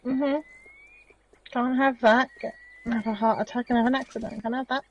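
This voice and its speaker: A woman in her forties, hoarse and losing her voice, chatting playfully and teasing while gaming on a stream. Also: fairly high pitch, fairly even intonation, normal speed, lo-fi sound, slight background noise. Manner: playful, teasing, coos at animals. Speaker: streaming co-player. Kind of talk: casual chat while gaming.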